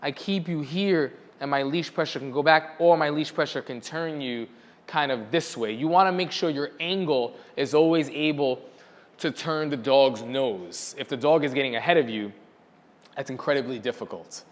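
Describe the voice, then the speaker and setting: A man explaining dog training in a casual question-and-answer session, repeating words like "very, very" for emphasis. His voice sits at an ordinary middle pitch. It rings with the long reverb of a large room. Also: normal speed. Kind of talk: casual instructional Q&A.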